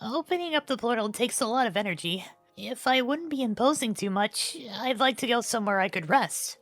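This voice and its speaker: A woman playing a dutiful young queen in an animated fan dub, courteous and earnest, a little hesitant and unsure. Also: fairly high pitch, fairly quick, no echo, soft background music. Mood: earnest, polite.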